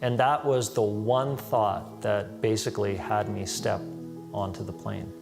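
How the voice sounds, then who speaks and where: A man giving a personal testimony, recounting his experience deliberately and searching for words. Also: fairly low pitch, steady tone, gravelly. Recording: music bed under the voice. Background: background music.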